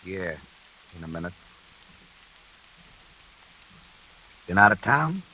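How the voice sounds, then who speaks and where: An actor playing a sinister night elevator operator in a classic radio suspense drama, laconic and coolly insinuating. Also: male voice, deep voice, sing-song, fairly quick, bright, forceful tone, exaggerated voice. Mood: curt, guarded. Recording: hissy, noisy old radio recording.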